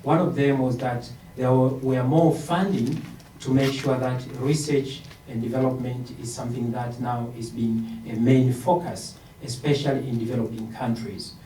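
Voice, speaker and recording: A man from Tanzania gives an earnest, measured panel answer with plenty of fillers. His voice is mellow and heard from a distance. The hall is roomy, with faint background noise. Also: mid-range voice, steady tone. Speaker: panelist, medical researcher. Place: conference hall.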